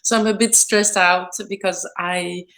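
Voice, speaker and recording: A woman's voice: on the low side, fairly even intonation, unhurried, fairly bright tone. A woman from Greece, a yarn dyer, chatting as a guest in a casual video-call interview from her studio, with many fillers and restarts. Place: home studio.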